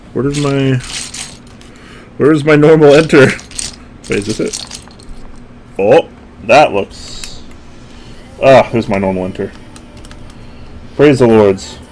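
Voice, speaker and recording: A man's voice: medium pitch, a little slow, vocal fry, pauses often, slight background noise. A young man casually chatting to his stream viewers at his home desk while he builds a keyboard, rambling and poking fun at himself. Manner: relaxed, rambling, self-deprecating, answers chat. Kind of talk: casual live-stream chat. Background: music playing.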